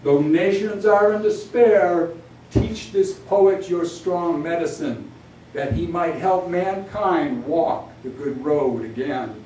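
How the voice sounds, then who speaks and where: A man's voice: a little high, slow pace, darkish tone. A man voicing an ancient, noble Lakota medicine man in an epic poem, solemn, commanding and incantatory, in a room. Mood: solemn urging. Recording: echoey room, distant mic, background noise.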